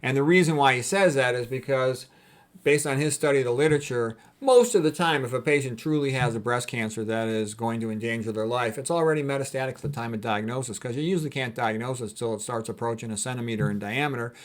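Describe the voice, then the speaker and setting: A man's voice: medium pitch, fast talker. A man speaking as a doctor giving an informal health lecture, blunt and opinionated, in a colloquial way with conversational asides. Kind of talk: informal explanatory lecture with commentary.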